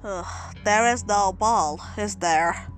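A woman's voice: mid-range voice, slow, close to an everyday voice, rough recording. A woman voicing an irritable, nerdy male cartoon anteater in an amateur comic dub, grumbling and sarcastic, dramatic when alarmed. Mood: grumbling, resigned. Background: music.

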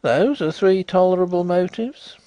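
A man doing a hasty, high-voiced gentleman. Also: moderate pace, dry recording, close to an everyday voice. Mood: emphatic. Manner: hasty, emphatic.

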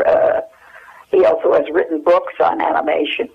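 An American woman in her eighties reminiscing casually and haltingly as an interview guest over the telephone. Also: low-pitched, fairly even intonation, gravelly, slightly rough. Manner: halting, searching for memories. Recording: dull, lo-fi telephone hook-up.

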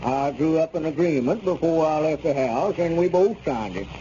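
An actor playing a smooth-talking, scheming squire in a 1930s radio comedy, with genteel, unctuous politeness. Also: male voice, fairly high pitch, expressive, normal speed, warm tone, lightly acted. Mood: smug. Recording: muffled, noisy 1930s radio recording.

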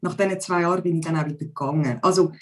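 A woman's voice: deep voice, steady tone, racing. A woman in her forties with a Zurich Swiss German accent, a guest chatting casually on a video call with lots of hesitant fillers. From Zurich, Switzerland. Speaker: podcast guest. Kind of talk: casual conversation. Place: home room.

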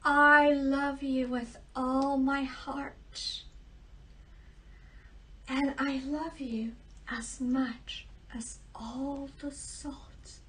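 Casting: woman as a soft-spoken humble princess, hushed and slow. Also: sits high. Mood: earnest. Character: gentle youngest princess, humble and sincere. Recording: distant mic.

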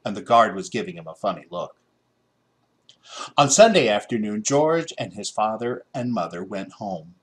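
A man's voice: on the low side, big pitch swings, normal speed. A middle-aged American man narrating a children's story expressively. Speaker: storybook reader.

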